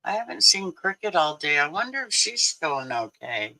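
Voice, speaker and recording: A man's voice: on the high side, big pitch swings, moderate pace, sharp tone, low-quality recording. A man in his sixties hosting a casual livestream, chatty and laughing often as he answers his viewers. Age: 60s. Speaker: livestream host.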